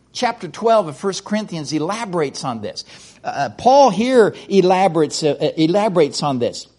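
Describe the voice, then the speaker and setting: A man's voice: high-pitched, big pitch swings, a little slow, darkish tone. A middle-aged man from the United States preaching a sermon in a folksy way, often repeating words and restarting sentences.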